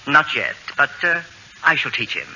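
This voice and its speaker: An actor playing a haughty, fastidious middle-aged know-it-all in a radio comedy, with precise, formal, acerbic diction. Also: male voice, a little high, lively intonation. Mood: dry, formal. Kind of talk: 1940s radio comedy acting. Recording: dull, noisy old radio broadcast recording.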